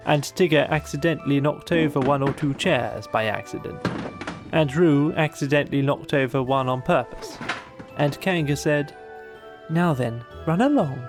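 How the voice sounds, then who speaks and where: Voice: mid-range voice, normal speed. A narrator reading a children's bedtime story in a scripted storytelling style. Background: music.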